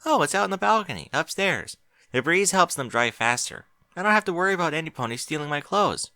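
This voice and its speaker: A man's voice: fairly high pitch, very animated, brisk, pushed and bright, no echo, pitched above the performer's own voice, full character voice. A man voicing a bookish young female pony, chatty and precise, in a fan fiction audiobook. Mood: chatty, casual.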